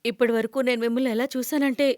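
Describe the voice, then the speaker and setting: A woman's voice: a little high, fairly even intonation, fast talker, a little mellow, dry recording, studio quality, close to an everyday voice. A woman voicing a repentant, earnest young daughter-in-law in a cartoon story, with an apologetic manner. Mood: remorseful.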